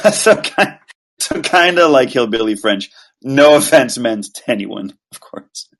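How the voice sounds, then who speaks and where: A man from Quebec, a voice actor guest, chatting casually in a relaxed, rambling, self-deprecating way. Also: mid-range voice, unhurried. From Quebec, Canada. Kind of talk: casual interview chat.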